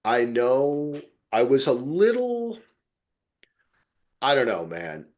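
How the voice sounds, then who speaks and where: A man's voice: medium pitch, wildly swooping pitch, slow, darkish tone. A man co-hosting a podcast, chatting casually and emphatically as an enthusiastic fan, with plenty of swearing. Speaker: podcast co-host. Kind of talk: casual fan chat about TV.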